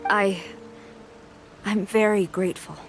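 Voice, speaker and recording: Dignified, composed military commander. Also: female voice, medium pitch, steady tone, takes it slowly, over music. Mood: grateful. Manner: formal, composed, authoritative. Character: adult female military commander, dignified, formal, grateful. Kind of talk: video game voice acting.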